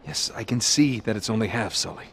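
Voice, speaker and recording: A man's voice: fairly low pitch, expressive, a little fast, vocal fry, fairly bright tone, a little hiss or hum. A man acting a wry, determined American treasure hunter in his thirties in a video game, casual but urgent under pressure. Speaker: video game lead character. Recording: game soundtrack music behind voice.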